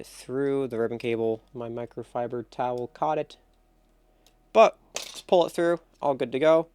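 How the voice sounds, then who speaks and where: A man's voice: medium pitch, dry recording. Nasal-sounding man giving a casual tutorial. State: nasal from feeling under the weather. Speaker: host of a console-building tutorial channel. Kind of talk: casual hands-on tutorial commentary.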